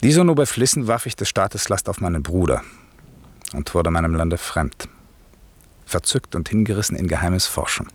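An actor in a German radio play, playing a grave magician father who declaims in a solemn, measured way. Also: deep voice, animated, slightly rough, dry recording. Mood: grave, bitter. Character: grave, commanding magician father, an exiled duke.

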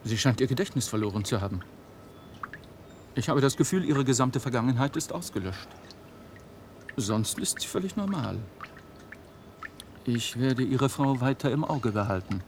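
An actor playing a grave man of moral conviction in a German radio play, speaking formally and deliberately. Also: mid-range voice, expressive, slight background noise, lightly acted. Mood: subdued, concerned.

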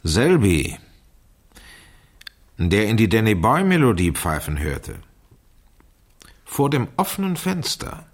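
An actor playing an authoritative, persuasive investigator in a radio crime drama, husky, deliberate and emphatic. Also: male voice, fairly low pitch, huge pitch swings, full character voice. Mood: deductive, insistent. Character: authoritative, probing male investigator, measured and persuasive. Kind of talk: 1970s German radio crime drama acting.

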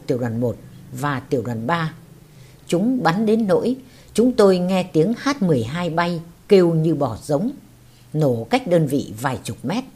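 Low-voiced lady narrating a memoir, bit husky, pitch moves around a lot. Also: darkish tone. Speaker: narrator reading a war veteran's memoir. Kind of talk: scripted audiobook narration.

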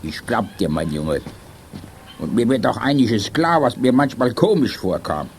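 An actor playing a gullible, good-natured old grandpa in a children's radio play, grumbling in a folksy, expressive way. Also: male voice, mid-range voice, unhurried, a little hiss or hum. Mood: rueful, understanding. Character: gullible old grandpa, gruff but good-natured, admits being fooled. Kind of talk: 1980s German children's radio play acting.

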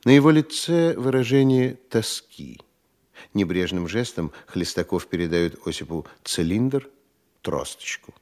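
Man to narrate an audio play's stage directions: lowish pitch, expressive scripted delivery. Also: unhurried.

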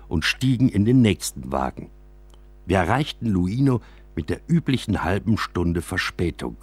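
A man narrating a scripted first-person story for a radio drama, with an expressive delivery. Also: on the low side, husky, no room sound.

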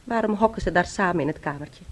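An actress playing a prim, inquisitive young woman visiting relatives in a radio drama, polite and quietly insistent. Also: lowish voice, fast, close to an everyday voice. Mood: suspicious, probing.

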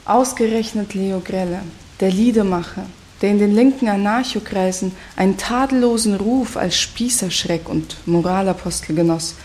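An actress playing a bitter, reflective commune activist in a scornful, brooding radio play monologue. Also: female voice, medium pitch, moderate pace, few pauses, a little mellow, lightly acted. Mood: wry, reflective.

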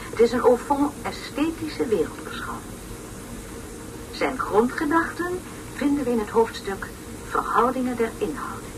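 An actress playing a pompous, long-winded woman lecturer on an educational tape in a radio play, speaking in solemn, over-articulated phrases. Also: medium pitch. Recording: noisy, distant old radio play recording.

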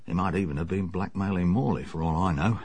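An actor playing a bluff, chummy middle-aged English police inspector in a radio drama, matter-of-fact and worldly. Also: male voice, low voice, fast, darkish tone, slightly rough, no room sound. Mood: chummy, knowing.

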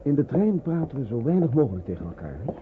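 An actor playing a calm, protective man escorting someone in a wartime radio play, with a reassuring, brisk and discreet manner. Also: mid-range voice, natural voice. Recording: tinny noisy old radio play recording.

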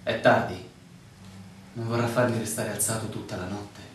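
Echoey, gruff innkeeper played by a man. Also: lowish voice, fairly even intonation, moderate pace, lightly acted. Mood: grudging, impatient. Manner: curt, scolding. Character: gruff, unwelcoming male innkeeper, easily offended. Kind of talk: theatrical stage drama acting. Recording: distant, very echoey room, faint noise.

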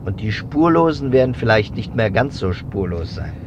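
An actor playing a methodical, suspicious investigator in a 1950s German radio drama, speaking deliberately in emphatic, clipped phrases. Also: male voice, fairly low pitch, sing-song. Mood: determined. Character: persistent adult male investigator, methodical and suspicious. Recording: dull, noisy 1950s radio recording.

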